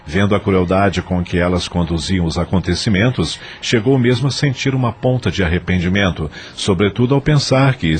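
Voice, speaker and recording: A man's voice: low-pitched, a little hiss or hum. A man with a Brazilian accent narrating an audio novel in a scripted, dramatic style.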